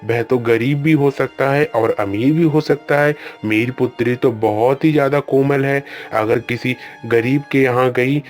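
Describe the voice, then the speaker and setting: A man's voice: mid-range voice, steady tone, a little slow, hardly any pauses, soft background music, lightly acted. A man voicing a worried old king who pleads with his guru and scolds his daughter in a cartoon story. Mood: alarmed. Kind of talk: Hindi cartoon storybook voice acting.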